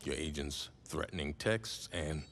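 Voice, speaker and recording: Deep, gravelly, chummy TV interviewer. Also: male voice, measured pace, fairly bright tone, a little hiss or hum, heavily acted. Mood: friendly, reassuring. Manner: chummy, informal. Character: genial, teasing male TV interviewer, old friend. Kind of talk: cinematic video game dialogue.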